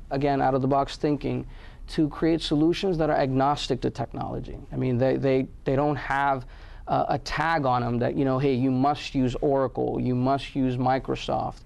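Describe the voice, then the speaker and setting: A man's voice: mid-range voice, gravelly, a little mellow. A man from Pakistan answering interview questions about his company, with many fillers and restarts. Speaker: guest, company head.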